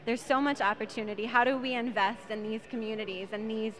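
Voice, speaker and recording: A woman's voice: a little high, fairly even intonation, fairly quick, a bit bright. A young American woman in her twenties, a guest on a talk show, speaking fluently and passionately about her charity work. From United States. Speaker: guest, charity executive director. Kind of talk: interview answers.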